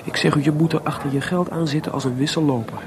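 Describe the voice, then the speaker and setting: Male actor for a restless, gloomy composer in a radio drama: lighter-pitched, halting, theatrical, busy crowd in the background. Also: steady tone, close to an everyday voice. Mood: sardonic, dismissive. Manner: hesitant, searching for words, theatrical. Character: Mozart, restless, melancholy composer, proud but adrift. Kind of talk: Dutch radio play acting.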